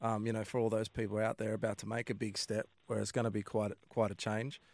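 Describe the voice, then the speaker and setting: A male co-host asks questions quickly and casually, stumbling and restarting often. His voice sits mid-range and sounds close and dry. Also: fairly low pitch, flat delivery, no echo. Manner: warm, casual, many fillers and restarts. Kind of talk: casual interview chat.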